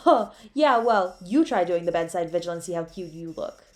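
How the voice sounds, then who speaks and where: A woman's voice: on the low side, lively intonation, moderate pace, a little mellow, close to an everyday voice. A woman playing a devoted, guilt-ridden superhero in an intimate, emotional audio roleplay, with a pleading, tender way of speaking. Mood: tender, relieved. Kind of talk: dramatic audio roleplay, intimate second-person.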